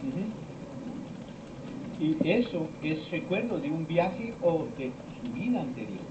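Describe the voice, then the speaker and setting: Slow-talking man lecturing in echoey room. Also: fairly high pitch, big pitch swings. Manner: slow, rambling storytelling with many pauses and quoted dialogue. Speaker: teacher of esoteric topics. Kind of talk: informal lecture to students. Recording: echoey room, distant mic, dull lo-fi sound, noisy.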